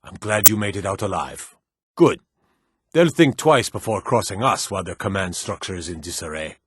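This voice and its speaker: A man playing a stern, battle-hardened military officer in a fantasy video game, brisk, commanding and gruffly matter-of-fact as he gives orders. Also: sits low, lively intonation, a little hiss or hum. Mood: approving.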